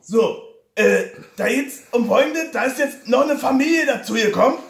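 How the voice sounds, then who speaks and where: Squeaky, creaky, bumbling official. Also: male voice, lively intonation, moderate pace, full character voice. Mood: insistent. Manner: repetitive, stammering, officious. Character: earnest, bumbling high-voiced German male TV production contact. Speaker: prank caller. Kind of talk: prank phone call. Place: living room sofa, indoors. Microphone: camera at short distance in room. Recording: echoey room, faint noise.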